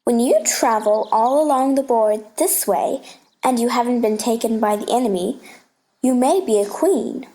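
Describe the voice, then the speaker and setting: Brief: gentle, bookish uncle read by a woman in a highish voice, patient, playful, explaining, for a storybook play. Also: moderate pace, close to an everyday voice. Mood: teasing, patient.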